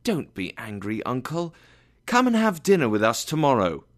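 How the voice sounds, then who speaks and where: Storybook role: warm, gleeful young nephew, earnest, raised pitch, voiced by a male reader. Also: wildly swooping pitch, normal speed. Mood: pleading.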